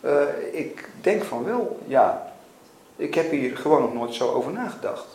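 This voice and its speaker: A man's voice: fairly high pitch, lively intonation, darkish tone. Man reading as anguished father, echoey room. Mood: shocked, faltering. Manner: halting, emotional. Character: anguished father, stammering, pleading, desperately refusing. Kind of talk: dramatic reading of a novel. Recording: echoey room with faint noise.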